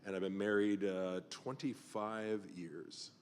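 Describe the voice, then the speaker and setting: A man's voice: lowish voice, steady tone, takes it slowly, big-room sound, slight background noise. A man in his fifties, a pastor hosting a casual podcast chat, talking loosely with lots of fillers. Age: 50s.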